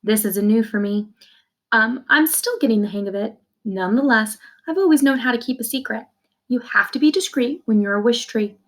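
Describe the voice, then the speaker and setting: A woman's voice: on the high side, moderate pace. A woman reading a children's novel aloud like a teacher, expressively, in the first person, with simple explanations for young listeners. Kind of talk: read-aloud storytelling with brief explanations.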